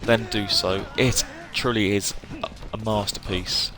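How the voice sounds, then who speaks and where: A man giving casual live game commentary to viewers, chatty and effusive, often pausing to search for words. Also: fairly low pitch, steady tone. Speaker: game streamer.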